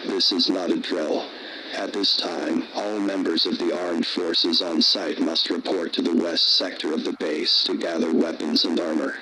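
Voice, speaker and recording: A man's voice: deep voice, little pitch movement, measured pace, sharp tone, a little hiss or hum, poor audio. A man playing a commanding military base announcer, giving formal, authoritative emergency orders from a script. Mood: urgent, commanding.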